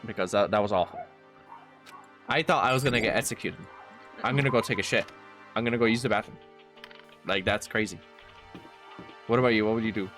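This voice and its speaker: A man's voice: lowish voice, fairly quick, pauses often, fairly bright tone, soft background music. A congested man streaming a game, joking crudely, swearing a lot and reading game text aloud. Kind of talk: casual let's-play chat, reading game text aloud.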